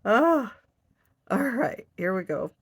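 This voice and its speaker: Woman crochet vlogger, stuffy nose, husky gravelly low voice, unhurried chat to herself. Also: sing-song, no room sound, clean studio sound. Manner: talks to herself while crocheting, counting stitches under her breath. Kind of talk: casual hands-on crafting demo.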